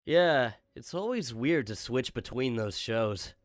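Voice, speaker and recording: A woman playing an earnest, loyal sidekick in an audio drama, soft and casual. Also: rumbling low, animated, slow, no room sound, clean studio sound, exaggerated voice. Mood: content. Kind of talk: post-apocalyptic audio drama acting.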